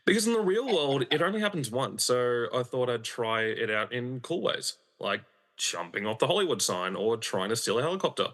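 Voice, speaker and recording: Bright, animated, flippant young-hearted man, acted by a man. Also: mid-range voice, brisk. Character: flippant, thrill-seeking man trapped inside a movie. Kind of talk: amateur comedy radio play, conversational.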